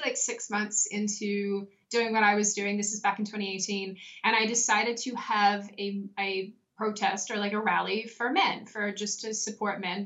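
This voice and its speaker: A woman's voice: medium pitch, sharp tone. A young woman with an Australian accent, a guest telling stories in a casual interview, with many likes and ums. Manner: chatty storyteller, frequent likes and ums. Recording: slightly dull lo-fi audio.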